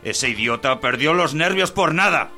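Casting: man as vain, domineering working-class husband, lively swings, tense edge, short fuse, audio drama. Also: a little high, moderate pace, faint music behind, heavily acted. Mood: defensive, boastful. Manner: defensive, domineering.

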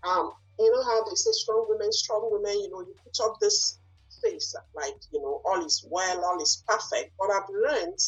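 A woman from Nigeria with a Nigerian accent, whose first language is not English, answering interview questions and often pausing and restarting to find her words. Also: mid-range voice, a bit bright, husky, rough recording. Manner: searching for words, frequent fillers and restarts. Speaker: guest, lawyer and business owner.

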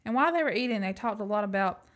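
A woman's voice: medium pitch, animated, very fast, close to an everyday voice. A woman acting a plucky, frank young woman in an amateur radio play. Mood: calm, matter-of-fact. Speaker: student actor.

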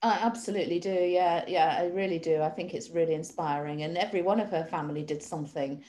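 A woman's voice: on the low side, fast, mellow. A middle-aged woman from Manchester with an English accent, talking casually and discursively as an interview guest, with enthusiasm for what she researches. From Manchester, England. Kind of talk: casual conversational storytelling.

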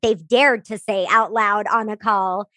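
A woman with a high, small voice hosting a casual interview over a video call, often agreeing softly. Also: fairly even intonation, measured pace, dry recording, clean studio sound. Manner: warmly agreeing, frequent soft affirmations. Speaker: interview host, coach.

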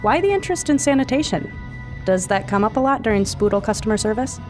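An actress playing a wry young woman in audio fiction, with a deadpan, wordy way of talking. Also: fairly high pitch, fast talker, faint music behind, natural voice. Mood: droll, hungry.

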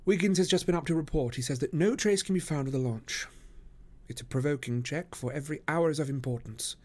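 Aloof gentleman detective, done by a male reader, raised pitch, clipped, formal, brooding and opinionated, classic audiobook. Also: fairly quick, lightly acted. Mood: troubled.

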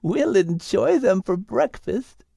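Man voicing a high, slow, kindly matron. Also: expressive, gravelly, mellow, breathy edge, no echo, clean studio sound, heavily acted. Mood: warm, pleased. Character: kindly, practical woman in charge of the household.

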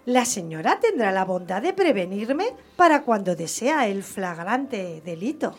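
A woman playing a demure, quietly scheming young maid in radio theatre, coy and deferential. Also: medium pitch, very animated, a little slow, faint music behind. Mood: obliging, assured.

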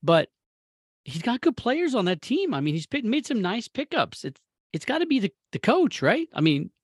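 An American man co-hosting a casual sports chat, speaking in opinionated, rambling and animated bursts. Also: very high voice, normal speed, husky, no room sound, studio quality. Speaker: sports talk co-host.

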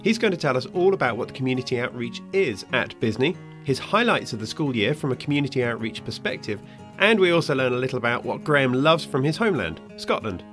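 A man in the host seat speaks in an even, mid-range voice. He moves politely from a scripted welcome to brief prompts, with faint guitar behind him. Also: mid-range voice, quick pace. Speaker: podcast host. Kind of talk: podcast interview hosting.

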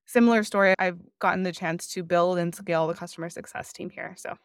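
A woman's voice: lowish voice, fairly even intonation, brisk, no room sound, studio quality. A woman from Miami, a podcast guest, talking in a reflective, earnest way and often saying "like". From Miami, United States. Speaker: podcast guest, customer success leader. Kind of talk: conversational interview.

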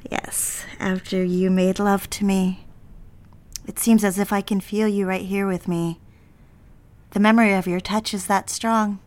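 An actress playing a conflicted, lovestruck young bride-to-be in a romantic period audio drama, speaking earnestly in measured, formal phrases. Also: female voice, medium pitch, level tone, darkish tone, close to an everyday voice. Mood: tender, yearning.

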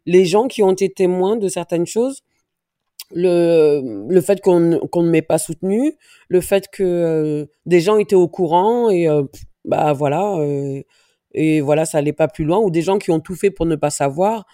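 Halting, mellow-voiced French woman. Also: lowish voice, no room sound, clean studio sound. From France. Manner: halting, searches for words, many restarts. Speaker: interview guest, dance teacher. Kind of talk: personal testimony in an interview.

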